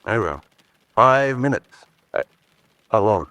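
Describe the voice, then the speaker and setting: A sceptical man of means in a radio mystery. His delivery is brusque and drily sardonic, with very lively intonation that rises and drops as he dismisses what he hears. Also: fairly low pitch, a little slow, dry recording. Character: sceptical, curt gentleman, dryly dismissive master of the house. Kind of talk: radio drama acting.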